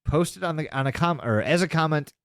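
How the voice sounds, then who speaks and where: A man's voice: a little high, lively intonation, quick pace, no echo, studio quality. A man co-hosting a podcast in casual chat, informal and emphatic, with plenty of swearing. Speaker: podcast co-host. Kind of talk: casual chat recapping a web horror series.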